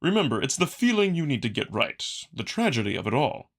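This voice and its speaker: A man's voice: medium pitch, big pitch swings, a little fast. Man voicing a big, earnest, theatrical beast noble. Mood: patient, earnest.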